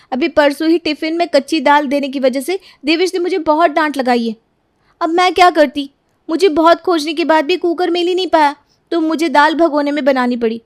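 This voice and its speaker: An aggrieved young daughter-in-law from a family tale , put on by a woman storyteller. She speaks high and stays respectful to her elders, in a very dry recording. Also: dry recording, close to an everyday voice. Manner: complaining yet polite to elders.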